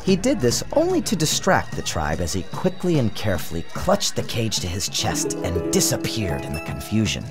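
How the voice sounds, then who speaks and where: Very animated male storyteller over music. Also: fairly low pitch, normal speed, hardly any pauses. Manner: theatrical, expressive. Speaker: author narrating his storybook. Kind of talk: dramatic scripted storybook narration. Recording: music and sound effects behind narration.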